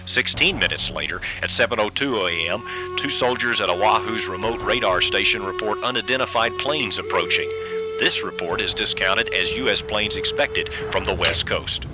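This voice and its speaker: A man giving scripted documentary radio narration in a measured, solemn delivery. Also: lowish voice, low-quality recording. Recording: radio broadcast with music bed. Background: background music.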